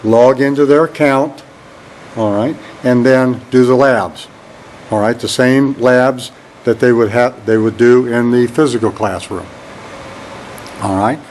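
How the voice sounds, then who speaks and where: A man teaching networking, talking in a deep monotone and often saying "all right" as he walks a handheld camera through an empty computer lab. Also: a little slow, slight background noise, poor audio. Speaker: networking instructor. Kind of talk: casual classroom tour walkthrough. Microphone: handheld camera mic while walking.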